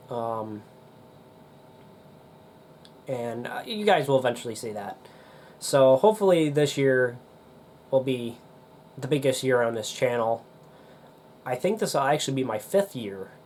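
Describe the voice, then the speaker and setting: A young man with a stuffy, nasal voice from a cold, rambling casually to camera with many pauses and fillers. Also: medium pitch, darkish tone, a little hiss or hum. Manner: rambling, hesitant with many ums. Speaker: demolition derby YouTuber.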